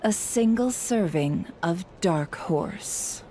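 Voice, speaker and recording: A woman voicing a poised, formal and teasing hostess in a video game, speaking in measured phrases. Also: on the low side, expressive, dark tone, slight background noise. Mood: composed, grand.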